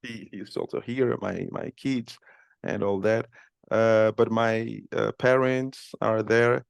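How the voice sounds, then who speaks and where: A man's voice: fairly low pitch, fairly even intonation, slow pace, creaky, smooth, dry recording. A man from Cape Verde, a podcast guest, talking casually and hesitantly, with many fillers and restarts. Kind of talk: casual interview.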